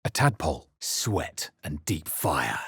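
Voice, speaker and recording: A man playing a wry, haunted adventurer hero in a video game, narrating his journey in measured, theatrical lines. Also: a bass voice, sing-song, vocal fry, a bit bright, slightly rough, dry recording, clean studio sound, full character voice. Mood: grave, suspenseful. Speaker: video game player character voice.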